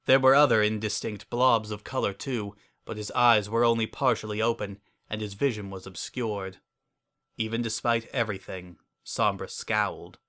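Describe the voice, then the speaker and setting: A man narrating a scripted story as a dramatic reading, in a measured, solemn manner. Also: fairly low pitch, steady tone, bright, forceful tone.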